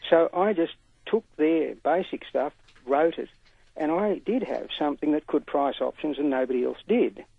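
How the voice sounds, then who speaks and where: An older man rings in to a radio show and talks earnestly, leaning hard on his points. His voice is gravelly and a little husky, and it comes through a thin, tinny phone line with no room sound at all. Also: a little high, lively intonation, moderate pace, no echo. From Australia. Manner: earnest, emphatic, talkative. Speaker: caller.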